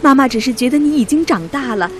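A woman's voice: sits high, lively intonation, fast talker. A woman acting in a radio drama as a busy mother of two, chiding but caring, with an admonishing maternal manner. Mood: earnest. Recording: music bed under the voice.

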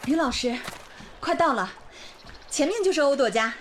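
A woman's voice: sits high, expressive, moderate pace, a little hiss or hum. A woman playing a caring, eager village schoolteacher in a radio drama, with a concerned manner.

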